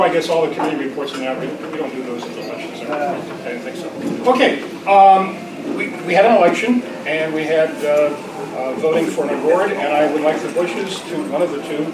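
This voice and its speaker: A man chairing a club meeting informally, speaking in a hesitant, meandering way. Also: on the high side. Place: club luncheon in a busy room. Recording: distant, echoey, noisy room recording. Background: loud room noise.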